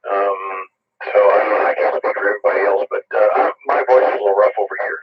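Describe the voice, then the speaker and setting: A hoarse, croupy man calling in to a ham radio net, speaking in a relaxed, halting way with many fillers. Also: lowish voice, lo-fi sound. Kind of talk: casual radio chat. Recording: distant, husky ham radio signal.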